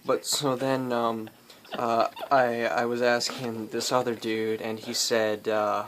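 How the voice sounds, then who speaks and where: Halting male storyteller, noisy recording. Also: mid-range voice, flat delivery, fairly bright tone. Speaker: storyteller, host. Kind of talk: casual improvised storytelling.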